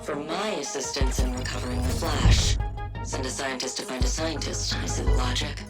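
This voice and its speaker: A deep-voiced, cold scientist. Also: female voice, moderate pace. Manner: calm, clipped, analytical. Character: cold, methodical female scientist, isolated and devoted. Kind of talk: video game cutscene narration. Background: music score.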